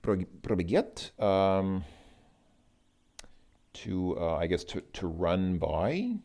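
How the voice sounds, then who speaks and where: Slow talking guy w deep voice doing lesson exercises out loud, kinda hesitant and dry. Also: sing-song. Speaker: language learner presenting lesson exercises. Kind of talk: informal lesson walkthrough, thinking aloud.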